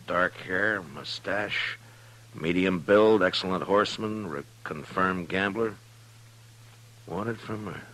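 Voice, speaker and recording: Low-voiced, laconic frontier marshal. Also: male voice, sharp tone, heavily acted. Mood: cool, firm. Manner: laconic, measured, authoritative. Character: calm, tough western frontier marshal. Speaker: radio actor. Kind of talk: 1950s radio western acting. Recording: noisy, humming 1950s radio recording.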